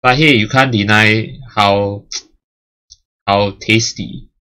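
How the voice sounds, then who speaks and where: Guy with a really low but bright, sharp voice, rambling channel chat with lots of uhs. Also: steady tone, slow, clean tone. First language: English and Chinese. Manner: rambling, many fillers and restarts. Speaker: channel host. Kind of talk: casual milestone chat.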